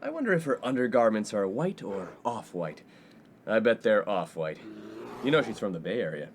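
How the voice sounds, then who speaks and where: A man's voice: lowish voice, sing-song, moderate pace. A glib young college playboy played by an actor in a fifties-set radio comedy. His delivery is breezy and self-important, swinging freely up and down, with a little noise behind it. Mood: boastful, oblivious. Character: brash, self-absorbed, smooth-talking college playboy best friend. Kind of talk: comedic 1950s-set radio play acting. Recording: faint background noise.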